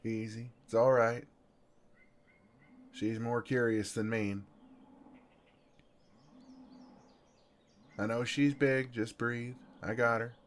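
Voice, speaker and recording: A man with a Southern US accent playing a gentle, flirtatious farmhand in an intimate ASMR roleplay, with an easygoing, folksy, soothing drawl. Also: mid-range voice, fairly even intonation, a little slow, close to an everyday voice. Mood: calm, soothing.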